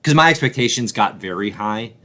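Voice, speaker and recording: A man's voice: lowish voice, lively intonation, a little fast, bright, forceful tone. A man hosting a live show, chatting and commenting casually in an enthusiastic, emphatic way.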